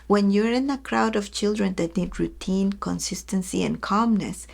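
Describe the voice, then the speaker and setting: A woman's voice: mid-range voice, moderate pace, vocal fry, darkish tone. A woman giving an earnest teaching talk to educators, speaking to her listeners directly and acting out everyday examples. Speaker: early childhood educator.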